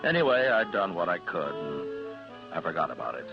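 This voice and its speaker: Weary US marshal, low voice, measured delivery, radio Western, music behind, muffled old recording. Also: male voice, big pitch swings, vocal fry, full character voice. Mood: reflective. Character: steady, weary adult US marshal in a Western town. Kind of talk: 1950s radio Western acting with first-person narration.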